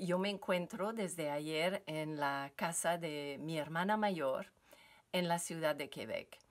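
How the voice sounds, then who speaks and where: A woman in her fifties or sixties with a French Canadian accent and a French mother tongue, a yoga teacher speaking slowly and hesitantly with many fillers, recorded in a furnished basement room. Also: lowish voice. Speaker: yoga teacher giving a seminar. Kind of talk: recorded solo talk to viewers.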